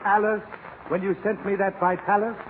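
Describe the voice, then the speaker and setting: A pompous ham of a poet acted by a man declaims in a high, grandiose voice. It is a 1940s radio comedy turn, on a tinny broadcast with clear background noise. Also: level tone, normal speed, natural voice. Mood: pompous, jaunty.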